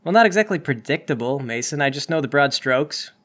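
A man's voice: mid-range voice, animated, fairly quick, fairly bright tone. A man acting as game master, mixing casual banter with narration for the players.

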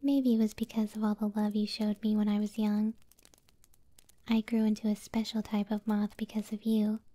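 A woman voicing a possessive, doting young moth-girl girlfriend in an ASMR roleplay, speaking softly, breathily and tenderly, close to the microphone. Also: medium pitch, flat delivery, no room sound, natural voice. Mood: tender, wistful. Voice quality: soft, breathy, whispery. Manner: slow, tender, teasing. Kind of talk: ASMR audio roleplay, intimate soft speech.

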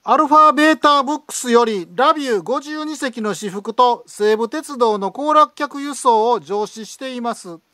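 A man's voice: very high-pitched, normal speed, few pauses, sharp tone, no echo. A man reading a scripted promotional narration.

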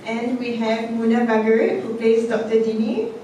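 A woman emcee reading out panel speaker bios on a theatre stage, measured and steady from her notes with the odd um. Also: a little high. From Singapore. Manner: measured, reads from notes with occasional ums. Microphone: handheld mic, heard from a distance. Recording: echoey hall, voice from far off, noisy.

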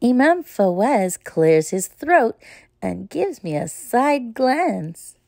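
Female storybook reader, expressive and unhurried, very varied intonation, for children's read-aloud narration. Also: a little high, creaky, dry recording, clean studio sound. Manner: expressive, deliberate phrasing.

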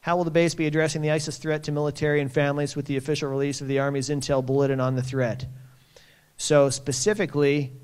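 A man's voice: on the high side, flat delivery, quick pace, a little mellow, clean tone. A man hosting a base town hall, reading out audience questions and answering them in a plainspoken, reassuring way. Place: conference center.

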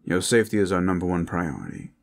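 A man playing a weary, serious and cautious protector in an audio roleplay, speaking in a measured, earnest way. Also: deep voice, sing-song, gravelly, exaggerated voice. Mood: calm, earnest.

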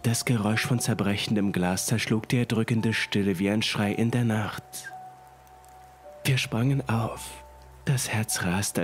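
A man with a fairly low voice narrates a horror story. His delivery is deliberate and ominous, set over music and the sound of rain. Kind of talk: scripted first-person horror narration.

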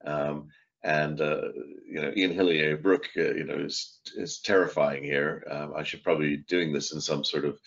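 A man's voice: extremely low pitch, little pitch movement, moderate pace, gravelly, a bit bright. A middle-aged man hosting a webinar interview over a video call, genial and chatty with frequent fillers. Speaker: host. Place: home office, on a video call. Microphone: video call microphone. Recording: dull lo-fi video call audio.

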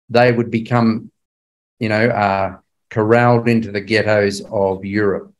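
A man's voice: mid-range voice, poor audio. An older man giving a Bible class history lecture in a conversational, emphatic way, often putting rhetorical questions to his listeners.

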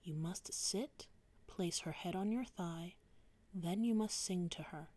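A young woman whispering softly through a slow ASMR poetry reading, drifting close to and away from the microphone. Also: on the low side. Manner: soft unvoiced whispering, varied mic distance.

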